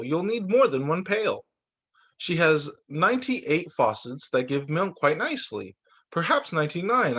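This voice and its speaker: A man who is a teacher reading a children's picture book aloud in an expressive, sing-song storytelling way. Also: fairly high pitch, slightly rough. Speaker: teacher reading to young students.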